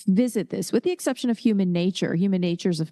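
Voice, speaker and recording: A woman's voice: medium pitch, quick pace, mellow. A woman co-hosting a podcast in a casual, conversational style. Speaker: podcast co-host. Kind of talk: casual chat.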